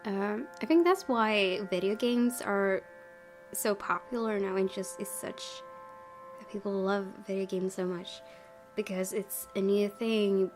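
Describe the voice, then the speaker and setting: A woman chats through a game in a casual, rambling let's play style. Her voice sits at a medium pitch and is giggly and informal, with faint music behind it. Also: measured pace. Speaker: gaming video host. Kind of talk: casual let's play commentary and read-aloud. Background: soft game music.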